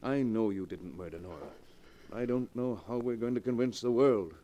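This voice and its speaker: An actor playing an earnest, worried doctor and loyal friend, urgent and sincere in 1940s radio drama style. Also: male voice, medium pitch, moderate pace, dull audio, close to an everyday voice. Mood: grave sympathy.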